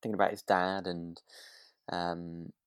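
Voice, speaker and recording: A man's voice: low voice, flat delivery, a little slow, smooth, dry recording. A man co-hosting a podcast, chatting casually in a tentative, meandering, thoughtful way. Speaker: podcast host. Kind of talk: casual chat reviewing a radio drama.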